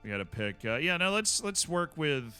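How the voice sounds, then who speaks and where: A man's voice: fairly high pitch, very animated, moderate pace, sharp tone, clean tone, faint music behind. A man streaming a strategy game, chatting casually with wry asides to himself.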